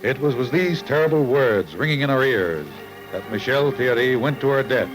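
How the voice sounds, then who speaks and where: Male narrator, mid-pitched, emphatic and formal, vintage true-crime radio with music underneath. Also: expressive, moderate pace, poor audio. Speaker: magazine editor and show narrator.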